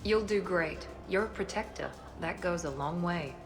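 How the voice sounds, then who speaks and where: A woman's voice: fairly low pitch, normal speed, natural voice. A woman playing a self-assured former operative in a video game, composed and precise with a dry edge. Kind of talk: scripted game dialogue. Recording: music under the voice.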